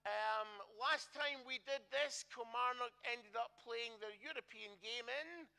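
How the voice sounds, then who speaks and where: A man's voice: extremely high pitch, a little slow, creaky, sharp tone. A man, a minister, giving the church notices in a chatty, joking way and correcting himself as he goes.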